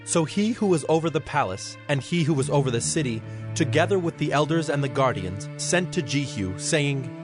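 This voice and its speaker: A man narrating scripture in a measured, formal style as part of a dramatized audio Bible. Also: fairly high pitch, animated. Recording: background music under the voice.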